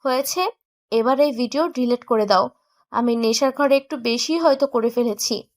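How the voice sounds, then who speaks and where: A very high, closely recorded voice of a young woman in love, played by an actress. She is pouty and teasing, scolding her sweetheart with playful indignation in a romantic audio drama. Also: moderate pace, dry recording, clean studio sound, lightly acted. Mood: embarrassed, scolding. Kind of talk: romantic audio story acting.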